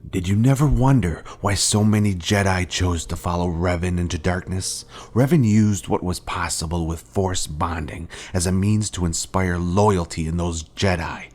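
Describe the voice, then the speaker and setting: A man playing a grave former Jedi Master in a video game, speaking in a solemn, deliberate, rhetorical way to his fallen pupil. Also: sits low, a little mellow. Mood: grave, probing. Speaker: game character. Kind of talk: scripted dramatic video game dialogue.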